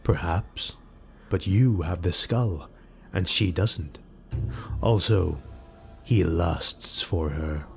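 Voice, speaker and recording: A man's voice: low voice, extremely slow, gravelly, pauses often, a little mellow, soft background music, voice pushed down, heavily acted. A man voicing a sly, mocking rogue retainer in an audiobook, deferential and insinuating. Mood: sly, measured.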